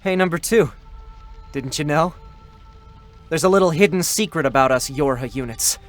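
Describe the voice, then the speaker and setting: A man's voice: a little high, normal speed, soft background music, natural voice. An actor playing a boyish male android with a mocking, bitter edge in a dramatic game story reading.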